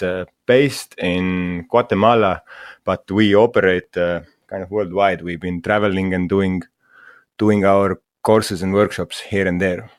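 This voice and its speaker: A man from Estonia, a guest in an interview, speaking English in a halting way with many fillers and restarts. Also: low-pitched, no room sound, clean studio sound. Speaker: guest, mushroom school founder. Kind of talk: casual interview talk.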